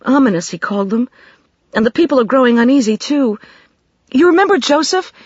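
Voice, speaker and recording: A woman's voice: sits high, expressive, brisk, no room sound, pitched above the performer's own voice. A woman reading an audiobook, voicing an anxious young woman who blurts everything out in a rush, pleading and upset. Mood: frantic. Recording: dry, slightly dull studio recording.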